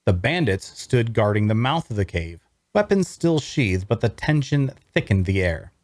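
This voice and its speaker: A man's voice: on the low side, sing-song, normal speed, no room sound, studio quality. A man playing a wry necromancer who narrates his own adventure, reading scripted first-person prose with dramatic storytelling. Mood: tense storytelling.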